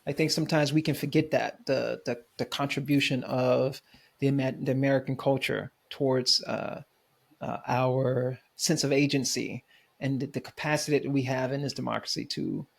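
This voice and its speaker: Man, podcast host, slow, halting, affirming, gravelly, medium pitch. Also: flat delivery. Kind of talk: conversational interview.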